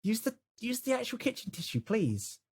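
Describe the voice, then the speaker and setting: A man's voice: extremely high pitch, very animated, normal speed, husky. A British man plays along in an improvised roleplay game. He talks casually, with an emphatic, exasperated way of repeating himself. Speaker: player in a tabletop roleplay podcast.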